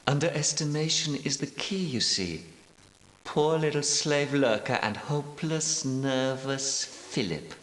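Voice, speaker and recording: An actor playing a wily, outwardly timid medieval knight in a video game, speaking in formal, archaic phrases with theatrical delivery. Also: male voice, mid-range voice, animated, fairly bright tone. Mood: sinister, gloating. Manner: formal, archaic, measured diction. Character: cunning male Grail knight, outwardly timid and nervous, secretly scheming. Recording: echoey hall with long reverb.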